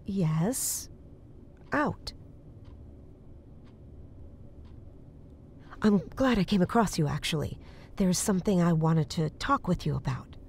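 A woman's voice: on the low side, expressive, a little mellow, a little hiss or hum. An actress playing an earnest, uneasy woman psychic in a horror audio drama, speaking in a hesitant, naturalistic way. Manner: hesitant, halting. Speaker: voice actor.

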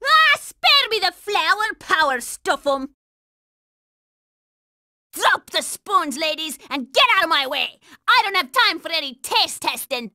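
A woman's voice: very high-pitched, big pitch swings, measured pace, sharp tone, no echo, clean studio sound, heavily acted. A woman voicing a bossy, hot-tempered young girl soldier in a cartoon video game, brash, scolding and sarcastic. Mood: impatient, bossy.

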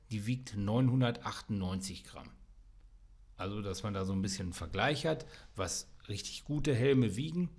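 Male reviewer, mid-pitched, easygoing hands-on explainer. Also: a little mellow. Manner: casual, practical explaining with fillers. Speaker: welding equipment reviewer. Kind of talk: product review voice-over.

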